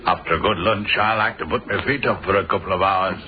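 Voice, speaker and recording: A man's voice: sits low, fast, over music, natural voice. An actor playing a genial, nosy, worldly businessman who teases his companion, in a radio drama. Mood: genial, teasing. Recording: dull, muffled radio drama recording.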